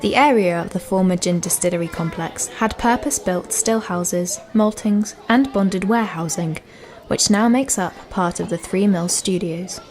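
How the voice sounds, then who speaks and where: A woman's voice: fairly low pitch, normal speed, a little mellow. A woman giving scripted informational narration as a guide. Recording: noisy ambience with crowd sounds.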